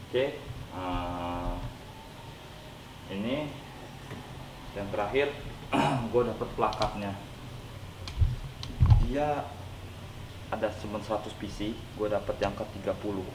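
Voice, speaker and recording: A young man talks his way through an unboxing, his deep, nasal voice stuffy from a cold. He rambles unhurriedly with lots of fillers. The room is echoey and there is clear background noise behind him. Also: takes it slowly, long pauses. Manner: rambling, hesitant with many fillers. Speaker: unboxing vlogger. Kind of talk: casual unboxing chat.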